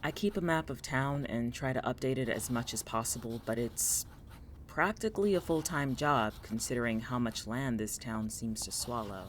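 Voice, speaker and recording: A man playing a guarded, paranoid college student in an audio drama, with a dry, grudging deadpan delivery. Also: medium pitch, normal speed, slight background noise, close to an everyday voice. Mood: brooding narration. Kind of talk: naturalistic audio drama acting.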